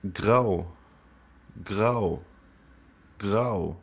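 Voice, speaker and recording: Very slow, low-voiced male language teacher. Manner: says each phrase three times, slow and clear. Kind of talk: slow repeated vocabulary drill.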